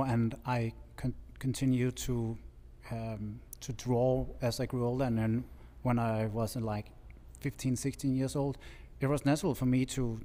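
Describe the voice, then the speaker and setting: A man in his thirties from Denmark with a Danish accent, chatting as a guest artist in a relaxed, halting way. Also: medium pitch, long reverb tail. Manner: relaxed, halting, laughs easily. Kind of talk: casual interview chat. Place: large bright studio, indoors. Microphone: stand mic with headphones.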